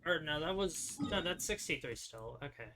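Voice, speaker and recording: A young man giving casual gameplay commentary, muttering to himself in halting, half-finished thoughts. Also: a little high, expressive, a crowd in the background. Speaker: gamer playing on a stream or recording. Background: game music.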